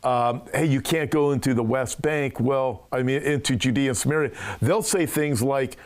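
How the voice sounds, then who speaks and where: A man's voice: mid-range voice, moderate pace. A pastor hosts the conversation in a plain mid-range male voice. He poses long, rambling questions, circling back with fillers before he lands on his point. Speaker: pastor and show host. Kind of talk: interview conversation.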